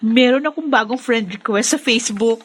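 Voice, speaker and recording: Hopeful young Filipina daughter, high voice. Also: female voice, normal speed, husky. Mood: excited, nervous. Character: hopeful, devout, sweet young woman, a daughter. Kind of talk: Filipino radio drama acting.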